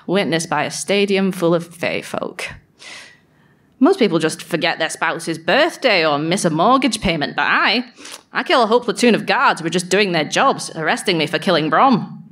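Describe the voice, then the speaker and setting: A woman's voice: lowish voice, big pitch swings, normal speed, a bit bright. An actress playing a guilt-ridden, sardonic fairy woman who speaks a self-mocking, sweary diary monologue in a live audio drama. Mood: bitter, sardonic.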